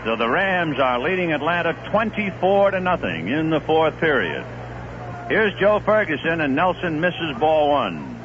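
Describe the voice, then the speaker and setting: Male baseball announcer, conversational and lively, old radio sound. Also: fairly high pitch, a little slow. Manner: lively, conversational, reeling off statistics. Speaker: play-by-play announcer. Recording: muffled 1970s radio broadcast with hiss.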